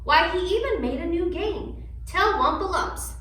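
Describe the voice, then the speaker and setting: A woman's voice: very high-pitched, animated, a little slow, a bit bright. A woman storyteller and presenter speaking to children in a read-aloud, instructional style. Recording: echoey room from far, faint noise.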